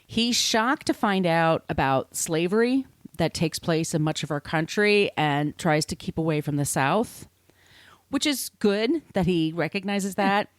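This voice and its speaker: A woman's voice: lowish voice, lively intonation, unhurried, dry recording. An American woman hosting a podcast, telling a story from notes conversationally, with informal, wry asides. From United States. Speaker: podcast host.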